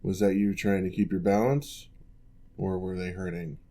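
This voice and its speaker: Man playing an orc ex-general, patient physical therapist, low pitch, gravelly, calm measured ASMR delivery. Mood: reassuring. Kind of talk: ASMR roleplay.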